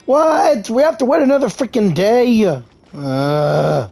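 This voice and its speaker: Slow-talking man doing game commentary. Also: sits high, animated, vocal fry, warm tone, soft background music. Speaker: gamer reacting to the story. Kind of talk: casual playthrough commentary.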